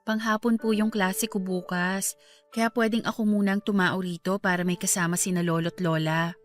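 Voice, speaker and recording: A young female voice of medium pitch with music behind it, an actress playing a grieving student niece. She speaks politely and with care to an elder, in natural radio drama style. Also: fairly even intonation, moderate pace, natural voice. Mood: gentle concern. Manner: polite, deferential to her elder. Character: bereaved young niece, a student, respectful and caring. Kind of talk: Tagalog radio drama acting, naturalistic dialogue. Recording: music bed under the voice. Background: background music.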